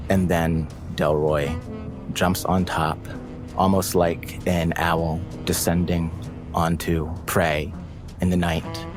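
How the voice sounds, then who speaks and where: Deep guy player telling what his character does, takes his time, music under. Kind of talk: dramatic narration of own character in a tabletop game.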